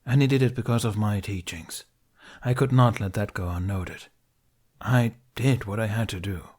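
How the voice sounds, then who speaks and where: A proud, stern older master, as a male reader puts him on, booming and defensive, with a low growl under his words. The reading is plain storybook narration with only a light change of voice. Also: normal speed, a little mellow, slightly rough.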